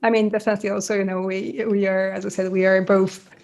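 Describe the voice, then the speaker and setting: This is a woman with a Polish accent who answers an interviewer's questions at length. She speaks in an even, level tone with a gravelly, fry-tinged texture, often restarting a phrase and adding a small laugh. Polish is her first language, and she speaks at a middling pitch. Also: quick pace. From Poland. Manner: thoughtful, self-correcting, laughs lightly. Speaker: author guest. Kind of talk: podcast interview.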